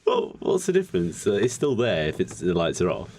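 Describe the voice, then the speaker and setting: A man's voice: low voice, wildly swooping pitch, brisk, creaky, a little mellow, a little hiss or hum. A man co-hosting a podcast, chatting casually and trading comedic banter. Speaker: podcast co-host.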